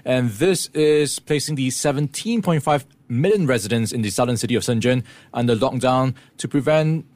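A man presenting a morning business news briefing, explaining the week's events in a steady way with occasional fillers. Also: medium pitch, dry recording. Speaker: radio presenter.

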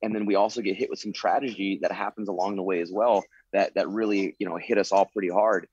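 A man's voice: deep voice, fairly even intonation, quick pace, a little mellow, slightly rough, no echo, lo-fi sound. A man in his late thirties, a former fighter turned coach, answering interview questions in an earnest way, leaning on "you know" as he talks. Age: 30s. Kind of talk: interview answers, reflective storytelling.

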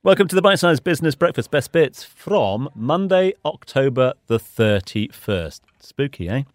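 A man's voice: medium pitch, big pitch swings, moderate pace, dry recording, clean studio sound. A man hosting a business news podcast, introducing items in a conversational radio style. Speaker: podcast host.

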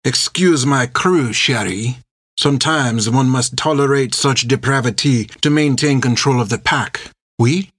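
A man voicing a coldly menacing old French prison-ship captain in an audiobook, with a French accent and slow, mock-courteous speech that savors words. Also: mid-range voice, a bit bright, husky, dry recording, studio quality. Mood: smooth menace. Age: older.

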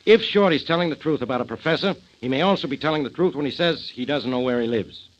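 An actor in a 1940s radio drama playing a blunt, suspicious, hard-nosed police inspector. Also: male voice, mid-range voice, fast, lightly acted. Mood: firm, insistent. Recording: dull old 1940s radio recording.

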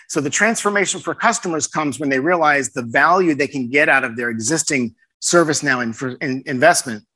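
Guy at a conference mic, kinda higher voice, upbeat salesy pitch. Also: sharp tone. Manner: upbeat sales-style pitch with everyday comparisons. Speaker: conference presenter. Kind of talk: conference talk. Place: conference session room. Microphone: handheld microphone.

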